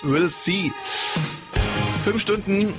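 A man's voice: high voice, extremely slow, over loud music. A man hosting a radio show, teasing his guest in an ironic, playful way. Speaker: radio host.

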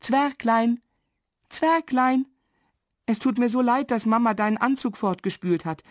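A woman's voice: on the high side, measured pace, a little mellow, no echo, studio quality, voice pushed up, close to an everyday voice. A woman voicing a kind, plucky and eager little girl in a children's storybook. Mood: apologetic, urging.

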